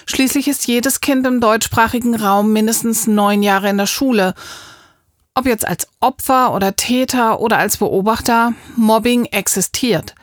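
Clear-spoken woman talk show host. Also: on the high side, measured pace, no echo, clean studio sound. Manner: clear, scripted delivery. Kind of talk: moderated interview.

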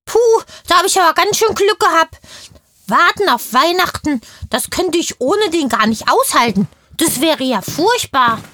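Woman as small girl, high-pitched, excitable, curious, emphatic kiddie slang, children's audio drama, dry studio sound. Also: measured pace, no echo, heavily acted. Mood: excited. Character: excitable, curious, chatty little kindergarten girl. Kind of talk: scripted children's audio play interview.

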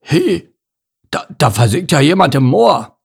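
Man acting a gruff male bear, growly, blunt, commanding, good-hearted, children's storybook, raspy edge. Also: lively intonation, normal speed. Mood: startled. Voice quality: deep, growly.

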